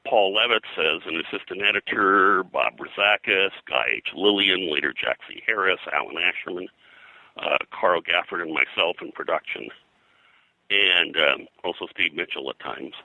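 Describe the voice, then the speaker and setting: An older man reminiscing in an interview as a comics industry veteran, in a halting, rambling way peppered with "you know". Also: low voice, pushed and bright, no room sound, rough recording.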